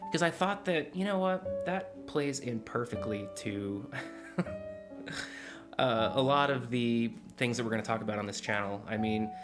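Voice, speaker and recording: A man in his forties talking earnestly in a casual confessional vlog, rambling with fillers and restarts, recorded on a desk mic in a small dim room at home. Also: medium pitch. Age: 40s. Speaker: vlogger. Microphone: desk mic in front, close camera framing.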